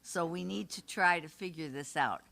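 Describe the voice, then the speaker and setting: An older woman with a loud voice, welcoming residents and interviewing a guest at a community gathering in a retirement home's meeting room. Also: fairly low pitch, moderate pace, gravelly. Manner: loud voice, warm welcoming announcer tone, laughs often. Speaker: community committee member introducing a guest.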